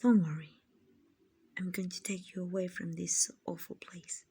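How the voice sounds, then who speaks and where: A woman plays a gentle vampire noblewoman in an ASMR roleplay. Her voice is fairly low and husky, often sinking to a breathy whisper. She speaks very slowly, soothing and genteel, like a kind owner comforting someone. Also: full character voice. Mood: soothing, reassuring. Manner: slow, soothing, genteel, endearing. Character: gentle, kindly female vampire, soothing rich slave-buyer.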